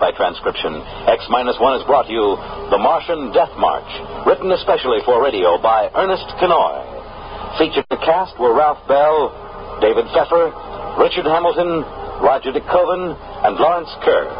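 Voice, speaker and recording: A man announcing in 1950s network radio style, formal, polished and declarative. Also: mid-range voice. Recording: muffled old radio recording, clear noise.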